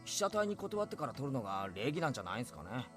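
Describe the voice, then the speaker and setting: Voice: medium pitch, big pitch swings, rapid-fire, lightly acted. An actor playing a brash, cheeky teenage schoolboy in a Japanese anime visual novel, speaking casually and bluntly. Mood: cool, sarcastic. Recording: music behind the voice.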